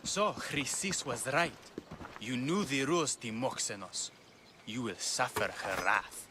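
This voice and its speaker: An actor playing a smooth, menacing Greek temple priest in a dramatic video game, speaking with mock politeness. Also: male voice, mid-range voice, expressive, measured pace, noisy background, natural voice. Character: smooth, menacing male temple priest enforcer in ancient Greece. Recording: loud music behind the voice.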